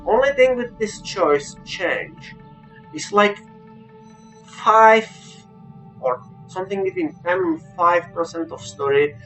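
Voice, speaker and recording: A man with a non-native English accent giving an informal, unscripted game review, halting but emphatic about his opinions. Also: sits high, fairly bright tone, soft background music, rough recording. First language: not English.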